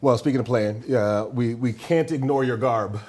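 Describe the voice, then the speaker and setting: A man's voice: medium pitch, a little mellow. Rambling male interviewer. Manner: rambling, halting questions. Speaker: interviewer, host. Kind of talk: casual interview chat.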